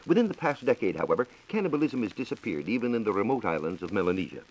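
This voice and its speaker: An American man giving a scripted 1940s radio science talk as a reporter, in a measured, formal lecturing manner. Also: fairly low pitch, sing-song, a little mellow, rough recording. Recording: 1940s radio broadcast recording.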